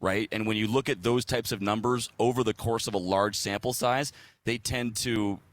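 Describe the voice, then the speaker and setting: A man with a fairly low voice talks through sports analysis like a broadcaster on a show. He explains points casually and conversationally, often checking that he is being followed. The sound is dry, with little room in it. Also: fairly even intonation, normal speed, no echo.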